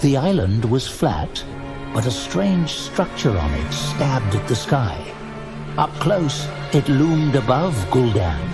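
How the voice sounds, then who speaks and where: A man narrating a fantasy audio drama in a measured, deliberate, dramatic storytelling style. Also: medium pitch.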